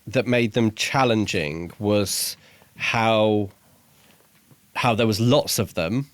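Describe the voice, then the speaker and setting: A man's voice: fairly low pitch, slow pace, no room sound. A man co-hosting a podcast, discussing a book casually and hesitantly as he pieces his thoughts together. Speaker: podcast co-host reviewing a book.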